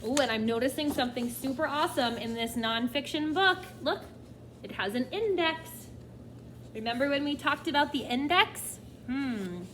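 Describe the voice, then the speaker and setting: A woman's voice: high voice, animated, normal speed, fairly bright tone, slight background noise. A woman teacher reading a nonfiction book aloud to children, expressively, often addressing them directly with questions and asides.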